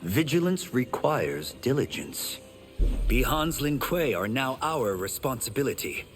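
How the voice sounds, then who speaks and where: A man's voice: medium pitch, sing-song, unhurried, faint music behind. An actor playing an earnest, dutiful young male champion fighter in video game dialogue. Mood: earnest, steady. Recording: long hall reverb.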